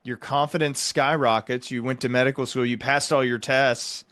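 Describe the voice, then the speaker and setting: A man's voice: medium pitch, fairly even intonation, moderate pace, fairly bright tone, no room sound. A man hosting a podcast, explaining things conversationally in an earnest, reflective way. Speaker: podcast host; psychiatric doctor.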